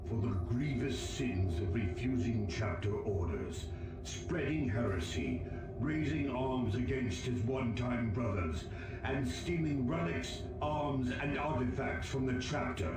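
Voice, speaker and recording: A man playing a righteous space marine captain in a video game, making a formal, stern proclamation. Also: natural voice. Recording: booming echo with music behind.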